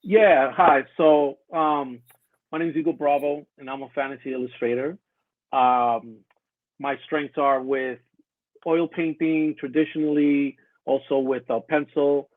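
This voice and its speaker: A man's voice: fairly high pitch, measured pace, dry recording. A man born in Colombia and raised in the US, a guest illustrator talking casually with many fillers over a remote line. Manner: relaxed, hesitant, many fillers. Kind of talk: casual interview chat. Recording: tinny phone-like remote line, dry.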